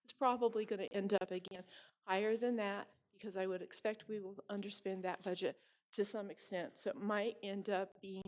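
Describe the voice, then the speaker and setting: A woman's voice: mid-range voice, steady tone, fairly quick, darkish tone. A woman finance officer explaining a district budget and bond payments to a board in a plain, explanatory way. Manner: plain, explanatory, hedging estimates. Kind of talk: budget presentation. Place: board meeting room.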